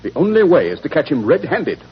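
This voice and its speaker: An actor playing a brisk, superior, sardonic detective with a clipped, authoritative manner in 1940s radio style. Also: male voice, fairly high pitch, wildly swooping pitch, husky, heavily acted. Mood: measured, wry. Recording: noisy, dull 1940s radio recording.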